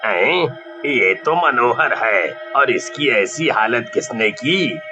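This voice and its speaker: A man's voice: medium pitch, lively intonation, slow pace. An actor playing a concerned, earnest village man in a cartoon horror story. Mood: alarmed concern. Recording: dull lo-fi track with faint music.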